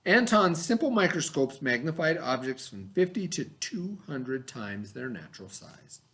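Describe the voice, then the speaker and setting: A man with a fairly high voice reads aloud in a lesson for children. His intonation is very lively, rising and dropping widely. He stresses key terms, repeating them and adding simple explanations as a teacher would. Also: measured pace. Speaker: teacher reading aloud to students. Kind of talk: expressive educational read-aloud with explanatory asides.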